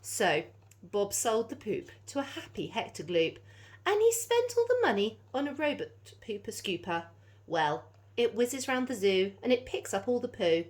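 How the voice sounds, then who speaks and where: A woman's voice: fairly high pitch, very animated, moderate pace. A woman reading a children's story aloud, like a teacher addressing young listeners.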